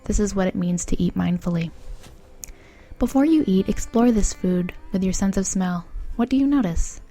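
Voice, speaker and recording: A woman speaking gently and in a measured way as a mindfulness guide and interviewer. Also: mid-range voice, lively intonation, soft background music. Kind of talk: guided mindful eating exercise, then soft interview.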